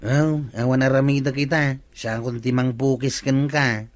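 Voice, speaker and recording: An actor playing a disgruntled villager in a radio comedy drama, complaining earnestly with an Ilocano Filipino accent. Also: male voice, medium pitch, level tone, unhurried, natural voice. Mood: resigned. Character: disgruntled male villager, complaining about his uncle.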